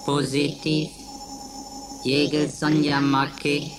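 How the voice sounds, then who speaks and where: An actor voicing an impassive computer in a science fiction radio play, clipped and robotic. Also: male voice, on the high side, monotone, takes it slowly, crowd noise. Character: impassive checkpoint computer announcing identity checks. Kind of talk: German radio play acting.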